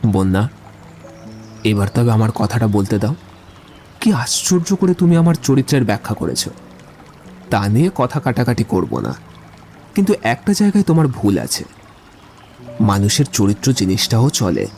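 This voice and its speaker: Man acting an eloquent, romantic young lover, swooping pitch. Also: big pitch swings, normal speed, pauses often, darkish tone, soft background music. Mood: earnest pleading. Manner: declamatory, wordy, lyrical. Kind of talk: Bengali literary audio drama acting.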